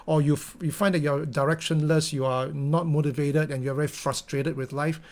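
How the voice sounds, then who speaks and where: A man's voice: a little high, fairly even intonation, moderate pace, darkish tone. A middle-aged Singaporean man with a Singaporean English accent, giving an earnest motivational talk full of fillers and restarts. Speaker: company founder giving a talk.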